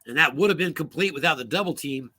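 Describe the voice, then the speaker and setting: A man calling solo play-by-play for a tabletop football game, brisk and rising on big plays, losing his voice and coughing now and then. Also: on the high side, pushed and bright, husky.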